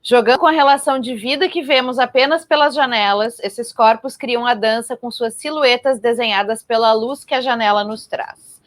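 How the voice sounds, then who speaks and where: A woman's voice: on the high side, moderate pace, few pauses, no room sound. A Brazilian woman hosting and interviewing in a conversational style on a live arts programme.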